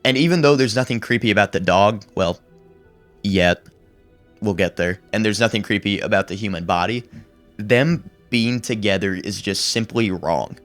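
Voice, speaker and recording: Low-voiced man narrating horror commentary. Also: fairly bright tone. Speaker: video essayist and narrator. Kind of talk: scripted horror commentary with casual asides. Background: background music under the voice-over.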